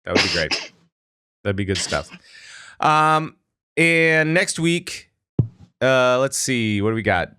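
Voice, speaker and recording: A man's voice: mid-range voice, sing-song, slow pace, pauses often, pushed and bright, clear, resonant tone, dry recording, clean studio sound. A man hosting a podcast, chatting casually about a game and rambling with many fillers and restarts. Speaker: podcast host. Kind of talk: casual gaming chat.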